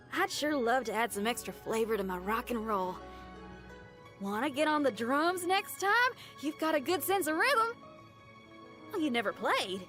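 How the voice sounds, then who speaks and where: A high-pitched, brash young rocker girl from a video game. She talks in a casual, slangy, folksy way, full of enthusiasm and free-spirited friendliness. Faint music plays behind her. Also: bright, forceful tone, full character voice. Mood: warm, encouraging. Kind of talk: video game character voice lines.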